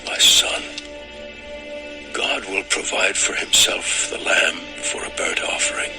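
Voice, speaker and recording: A man playing a grave, devout father and patriarch in a dramatized scripture recording, restrained and gravelly. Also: low-pitched, lively intonation, slow pace, full character voice. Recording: music under the voice.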